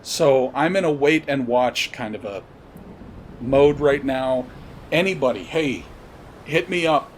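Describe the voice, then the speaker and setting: A man delivers an off-the-cuff political monologue as a podcast host at a middling pitch. He is blunt and halting, circling back on his words, with some low rumbling noise behind him. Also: lively intonation. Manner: halting, searching delivery with pauses and repetitions; blunt, profane. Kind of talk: unscripted political commentary monologue.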